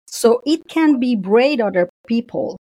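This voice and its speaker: A woman's voice: on the high side, measured pace, no echo. A woman guest in a casual podcast conversation, talking expressively and laughing often. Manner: expressive, laughs often, acts out quoted voices.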